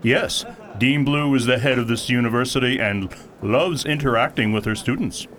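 A courteous, literal-minded guide for a radio play, acted by a man, with a mid-pitched voice. He phrases everything formally and precisely, like an official mentor. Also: fairly bright tone, natural voice. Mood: courteous, unruffled. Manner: formal, measured, precise phrasing with few contractions. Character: polite, literal-minded male mentor, one of many identical guides. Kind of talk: audio drama acting.

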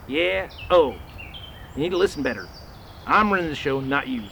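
A bossy outlaw leader from a western radio play, acted by a man. His voice is bright and forceful and jumps about in pitch. He is curt and suspicious, over some background noise. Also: expressive, moderate pace, creaky, heavily acted. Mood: stern, wary. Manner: curt, commanding. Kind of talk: western radio drama acting.

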